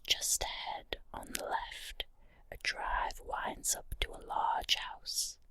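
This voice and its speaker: Whispering woman narrating slowly. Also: pushed and bright, no echo. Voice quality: breathy unvoiced whisper. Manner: slow, deliberate, short phrases with pauses. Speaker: ASMR narrator. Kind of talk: whispered ASMR guided visualization, scripted second-person story narration.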